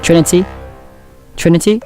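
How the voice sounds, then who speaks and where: Man playing a higher-pitched earnest android astronaut. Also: steady tone, moderate pace, a little mellow, soft background music, close to an everyday voice. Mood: troubled. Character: part-android male astronaut, regretful, dutiful. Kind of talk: fan audio drama acting.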